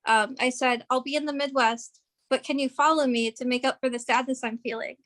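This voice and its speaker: A woman in her late twenties, a fan talking casually and haltingly, with many fillers and giggles. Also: sits high, steady tone, a bit bright. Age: 20s. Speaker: fan guest. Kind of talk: casual chat.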